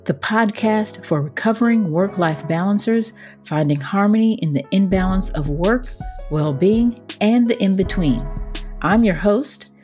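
A woman hosting a podcast, introducing the show and interviewing, with a husky voice. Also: mid-range voice, darkish tone. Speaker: podcast host.

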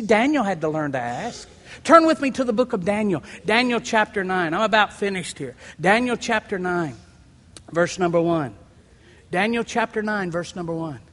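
A man preaches with a high, bright, ringing voice that swells into shouts and then falls to a near hush. He mixes scripture reading with folksy jokes. A long hall reverb trails every phrase. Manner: builds to shouting crescendos, then drops low; folksy, humorous asides. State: sweating and worked up. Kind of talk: sermon.

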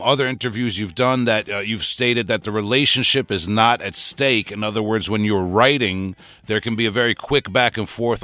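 A man's voice: lowish voice, sharp tone, dry recording. A man hosting a podcast interview, polite and appreciative, easing into his questions with long conversational lead-ins. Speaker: podcast host.

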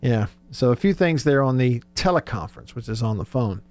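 A man's voice: mid-range voice, lively intonation, brisk, a little mellow, dry recording. A man hosting sports talk radio in a casual, conversational, informal way.

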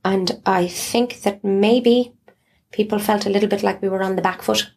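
A woman's voice: medium pitch, steady tone, moderate pace, vocal fry, darkish tone, breathy edge. An Irish woman in her thirties, explaining her views thoughtfully in a conversational interview. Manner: thoughtful, explanatory, with restarts and asides.

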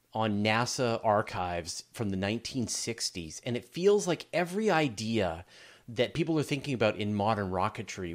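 A middle-aged man hosting a conversational interview, thinking aloud as he builds long, wandering questions. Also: medium pitch. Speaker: interviewer, podcast host.

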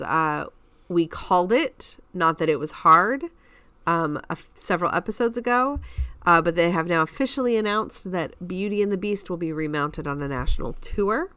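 Bright, low-pitched woman in casual banter. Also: animated, normal speed, smooth, no echo. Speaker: theater podcast co-host.

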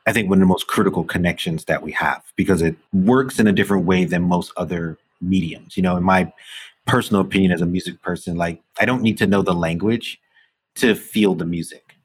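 A man hosting a podcast, chatting in a relaxed way and laughing often. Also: low voice, fairly quick, breathy edge. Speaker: podcast host. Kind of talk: casual interview chat.

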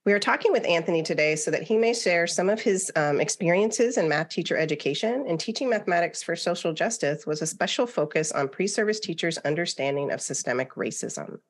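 Lowish-voiced woman host interviewing. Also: expressive, fairly quick, few pauses. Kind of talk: reading an introduction, then conversational interviewing.

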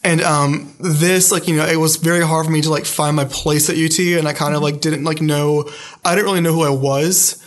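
Young man talking haltingly in a flat tone. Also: fairly high pitch. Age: young adult. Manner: hesitant, many fillers and restarts. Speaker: student guest. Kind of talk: casual interview.

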